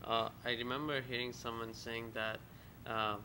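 Audience man, flat bright delivery, tentative, faint rumble behind. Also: moderate pace. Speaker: audience member asking a question. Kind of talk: asking a question.

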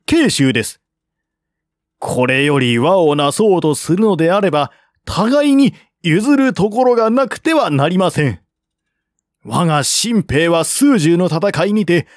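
A man's voice: sits high, dry recording, studio quality, pitched above the performer's own voice. A man voicing a humble, earnest envoy in formal courtly speech for a historical audiobook. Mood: deferential, pleading. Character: deferential male envoy, courtly and earnestly persuasive. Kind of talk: audiobook reading of historical novel dialogue.

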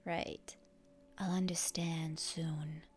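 A woman playing a proud, stubborn young heroine in an ASMR roleplay, in a breathy, often whispery voice with indignant bluster. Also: low-pitched, little pitch movement, a little hiss or hum. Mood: scornful, hurt. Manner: indignant, halting, tsundere bluster. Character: proud, stubborn wounded young heroine, defiant toward her enemy.

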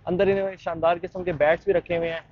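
A man's voice: high-pitched, flat delivery, quick pace, muffled recording. Young man guiding a market tour. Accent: Pakistani Urdu. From Pakistan. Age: young adult. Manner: chatty guide, frequent fillers and restarts. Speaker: vlogger. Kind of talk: walking market tour vlog. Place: busy street market and sports shop.

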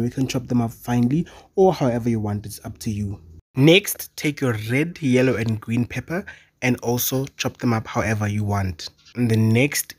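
A young South African man with a husky, mid-pitched voice talks viewers through a home cooking recipe. He is casual and chatty and often drops in "you know". He records in his own kitchen on a phone camera. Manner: chatty, addresses viewers directly, many "you know" fillers. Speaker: cooking channel host.

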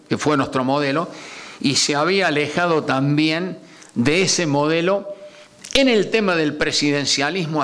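Animated older Argentine man lecturing. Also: on the high side. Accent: Argentine Spanish. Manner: animated, stretches key words slowly for emphasis, asks rhetorical questions. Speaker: lecturer on constitutional history. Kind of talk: academic lecture. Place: conference room at a panel table. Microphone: table gooseneck microphone.

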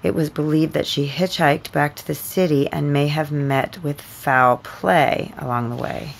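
A woman playing a measured, persistent interviewer in a naturalistic audio drama, deliberate and probing. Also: deep voice, fairly even intonation, vocal fry, exaggerated voice. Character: measured, persistent interviewer reading a case report.

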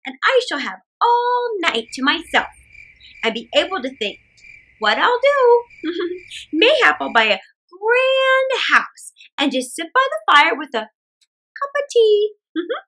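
Woman doing an excitable, very high old country woman. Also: slow, heavily acted, poor audio. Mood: gleeful. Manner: gleeful, exclaiming, giggly. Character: cheerful, excitable poor old country woman, ever optimistic. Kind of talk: children's storybook character voice.

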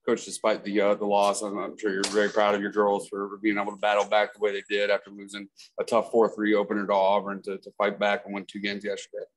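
Very monotone male sports reporter, quick. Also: lowish voice, a little hiss or hum, poor audio. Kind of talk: press conference questioning.

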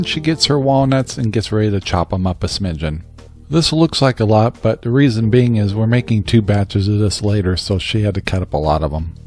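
A man's voice: on the low side, darkish tone. An older man casually narrating a home cooking video as host, folksy and chatty with many filler sounds.

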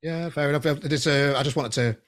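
A man in his forties hosting a podcast interview over a video call, chatty and halting, with many restarts, on a headset boom mic at home. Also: fairly bright tone. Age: 40s. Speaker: podcast host. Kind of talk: casual interview chat. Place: home room indoors.

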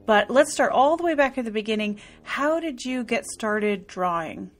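A woman podcast host introducing and interviewing a guest in a clear, prepared way. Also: on the high side, animated, normal speed.

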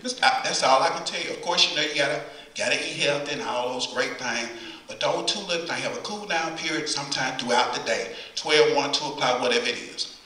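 A man's voice: medium pitch, brisk, bright, forceful tone. A middle-aged man preaching a conversational sermon from the pulpit, folksy and repeating phrases for emphasis. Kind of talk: conversational sermon with call and response. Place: church sanctuary at the pulpit. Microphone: far camera, pulpit mic. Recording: echoey church from far camera.

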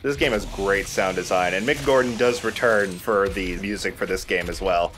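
Low-voiced man doing gameplay commentary. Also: normal speed, fairly bright tone, a little hiss or hum. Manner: explanatory, conversational, swears casually. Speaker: let's play host.

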